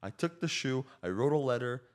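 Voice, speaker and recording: A man with an average-pitched voice talks as a podcast guest. He has a slight Slovak accent from his first language. His storytelling is casual and rambling, with tangents and self-interruptions. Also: lively intonation, brisk, clean tone. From child of Slovak parents. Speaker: podcast guest, real estate agent.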